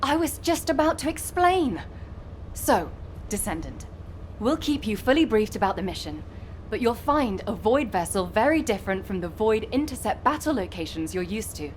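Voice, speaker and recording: A woman voicing a cheerful, talkative young female soldier in a video game, teasing and expressive. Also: medium pitch, normal speed, a little hiss or hum, lightly acted. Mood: friendly, encouraging. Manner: chatty, playfully exasperated.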